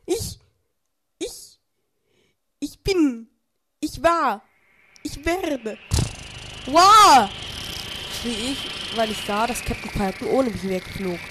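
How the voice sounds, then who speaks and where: A man's voice: extremely high pitch, lively intonation, slow pace, pauses often, noisy background. A young man narrating an amateur parody audio drama in a dramatic storytelling manner.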